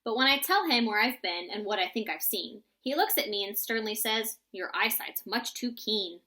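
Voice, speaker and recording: A woman reading a rhyming children's storybook aloud in an expressive style. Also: on the high side, brisk. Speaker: storybook reader.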